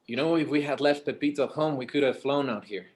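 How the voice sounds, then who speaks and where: An actor playing an exasperated but affectionate grown son in a table read, repeating words hesitantly. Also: male voice, mid-range voice, fast talker, natural voice. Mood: wry, teasing. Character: adult son, exasperated yet affectionate with his father. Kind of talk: screenplay table read acting.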